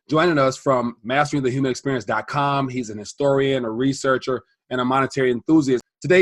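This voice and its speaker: A man's voice: medium pitch, dry recording. A man hosting a finance interview, asking long, rambling questions. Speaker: interview host. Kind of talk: podcast interview.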